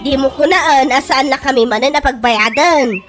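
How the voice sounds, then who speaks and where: A woman's voice: sits high, moderate pace, over music, lightly acted. A woman playing an outspoken, impatient young daughter in an Ilocano radio comedy drama.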